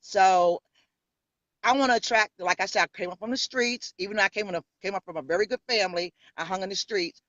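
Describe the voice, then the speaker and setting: This is a woman in her fifties from New York who speaks with a New York accent. She tells her story in a bold, sassy way, and her voice is bright and forceful. The sound is close and dry, though a little muffled. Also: medium pitch, brisk, no room sound. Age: 50s. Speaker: pastor and interview guest. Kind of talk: casual interview.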